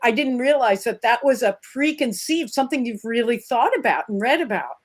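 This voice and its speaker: Higher-pitched woman interviewing conversationally. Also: expressive, a little fast. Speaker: guest interviewer, music teacher.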